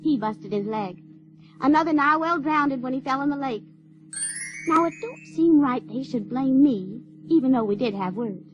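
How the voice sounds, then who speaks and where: A woman's voice: high-pitched, expressive, moderate pace, faint music behind. An actress playing an artless, stubborn sixteen-year-old runaway girl in an audio drama, with a rustic, drawling Ozarks accent and plain-spoken manner. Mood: wistful, coy. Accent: Ozarks rural American. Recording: dull lo-fi radio recording, faint noise.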